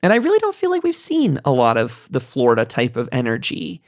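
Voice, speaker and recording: A podcast host with a creaky, mid-pitched voice moderates a casual gaming roundtable. The delivery rambles as the thoughts form, in a dry, close recording. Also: huge pitch swings, a little fast, no room sound. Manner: rambling, thinking aloud. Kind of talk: casual roundtable discussion of video games.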